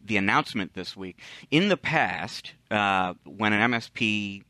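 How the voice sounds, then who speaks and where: A man co-hosting a podcast, giving casual commentary in a measured, explanatory way. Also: on the low side, lively intonation, sharp tone, dry recording. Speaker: podcast co-host, tech industry commentator.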